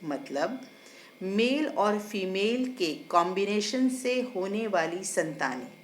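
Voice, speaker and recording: A woman voicing a learned older male judge in a story, explaining philosophy in a measured, didactic way with emphatic English phrases. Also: medium pitch, sing-song. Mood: explaining, solemn. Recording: roomy echo, faint background noise.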